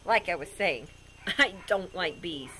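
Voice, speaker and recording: A woman's voice: on the low side, expressive, a little slow, very noisy, voice pushed down. A woman voicing a reluctant, fearful male best buddy who protests expressively in a children's storybook.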